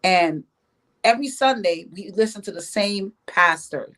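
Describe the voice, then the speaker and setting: A woman's voice: mid-range voice, animated, unhurried, fairly bright tone. A woman from Jamaica giving opinions in a podcast discussion, speaking emphatically and repeating phrases to drive them home. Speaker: podcast guest.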